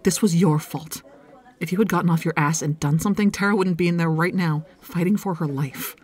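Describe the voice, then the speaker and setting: An actress plays a defiant female deputy in an audio drama, grief behind her blunt accusations. Her voice is low for a woman and husky. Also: brisk, darkish tone. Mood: furious, hurt.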